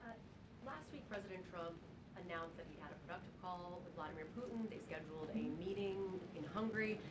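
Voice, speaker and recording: Low-voiced woman reporter, heard from afar. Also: fairly quick. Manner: pressing, probing follow-ups. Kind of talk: press briefing question. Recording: distant voice over loud rumble.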